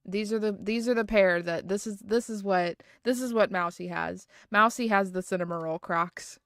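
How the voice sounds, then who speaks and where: A woman's voice: medium pitch, normal speed, no echo. Rambling woman streamer, dry sound. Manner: rambling, full of "um" and "like", restarts. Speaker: livestreamer. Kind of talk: casual chat.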